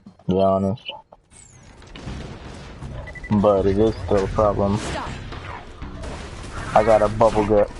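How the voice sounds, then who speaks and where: Casting: man, lowish voice, joking gamer who rambles and ribs himself, loose and unscripted. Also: a little mellow. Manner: rambling, joking, self-deprecating. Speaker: let's play gamer. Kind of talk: casual gameplay commentary.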